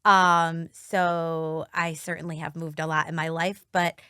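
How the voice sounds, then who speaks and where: A woman from Argentina, a choreographer, telling her story in an easygoing, unhurried way as an interview guest. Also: low-pitched, flat delivery, bright, forceful tone, a little hiss or hum. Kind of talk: casual interview.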